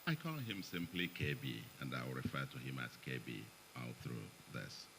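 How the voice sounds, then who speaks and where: Very deep-voiced Nigerian man giving a speech. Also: huge pitch swings, normal speed, background noise. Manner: chatty, joking asides, chuckles between remarks. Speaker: guest speaker at an economic forum. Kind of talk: public speech, partly read. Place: event hall, in front of an audience.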